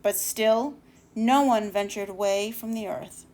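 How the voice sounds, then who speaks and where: A woman reading a children's storybook aloud, expressively, with deliberate dramatic emphasis and the odd stumble over words. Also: mid-range voice, a little mellow.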